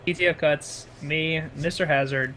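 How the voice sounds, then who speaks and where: A man's voice: fairly high pitch, fairly even intonation, normal speed, a bit bright, a little hiss or hum. A man playing along on the server, casually running through who is online. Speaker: fellow player. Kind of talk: casual chat.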